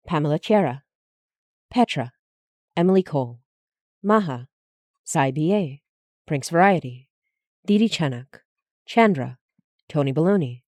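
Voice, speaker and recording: A woman's voice: low-pitched, darkish tone, no echo. A woman who hosts a podcast, reading scripted credits and announcements in an orderly way, addressing listeners directly. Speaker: podcast creator and showrunner.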